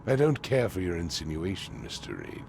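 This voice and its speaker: A man playing a haughty, commanding patrician in a video game, with formal, pompous and deliberate phrasing. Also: sits low, big pitch swings, vocal fry, full character voice. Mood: guarded, haughty. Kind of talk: video game cutscene acting.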